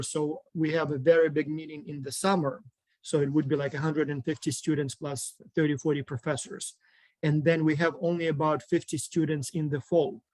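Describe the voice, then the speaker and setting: Man from ukraine, fairly high voice, dry close sound, video call, halting speech. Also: a little mellow, no echo. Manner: halting, many ums and restarts. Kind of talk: casual online group chat.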